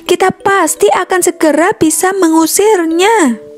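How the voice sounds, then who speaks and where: Woman doing an eager, high-pitched young grandchild. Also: measured pace. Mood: eager, curious. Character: curious, timid young grandchildren of the professor. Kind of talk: storybook character voice. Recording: music behind the voice.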